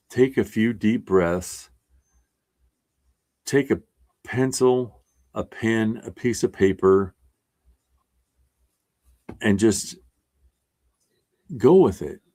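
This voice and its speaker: A man's voice: on the low side, a little mellow. A man in his sixties speaking as an art resilience instructor, giving a casual, earnest and encouraging talk to his class in a plainspoken way.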